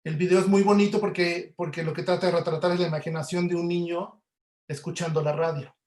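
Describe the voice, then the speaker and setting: A man with a Mexican accent explains things informally, as in an online class. His voice is fairly high, and the room adds clear echo. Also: flat delivery, fairly quick, darkish tone. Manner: explanatory, often checks with tag questions. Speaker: lecturer, audio producer. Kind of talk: informal webinar lecture. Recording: echoey room.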